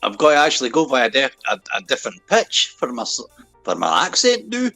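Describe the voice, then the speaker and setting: A man's voice: medium pitch, huge pitch swings, brisk, sharp tone, no echo. A man in casual stream banter, easygoing and laughing along. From United Kingdom. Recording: dry close sound, faint music.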